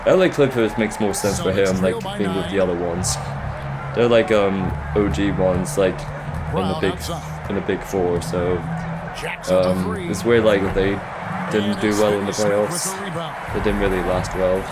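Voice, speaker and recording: A man's voice: low voice, steady tone, unhurried, over loud music. A man chatting casually as a gaming host, talking through basketball in a rambling, hesitant way. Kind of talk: casual chat commentary over basketball game. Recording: loud crowd roar behind the voice. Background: basketball video game crowd noise.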